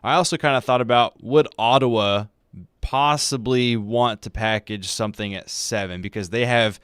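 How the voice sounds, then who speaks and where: A man's voice: mid-range voice, moderate pace, fairly bright tone, clear, resonant tone, dry recording, clean studio sound. A man co-hosting a sports radio show, putting questions in casual talk. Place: dry radio studio.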